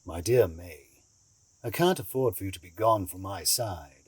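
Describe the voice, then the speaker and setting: Slow, very husky, low-voiced villain. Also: male voice, lively intonation, no echo. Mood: smooth menace. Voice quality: husky, raspy. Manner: slow, deliberate, silkily polite. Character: calculating villain boss, courteous, commanding, coolly menacing. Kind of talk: audio drama acting.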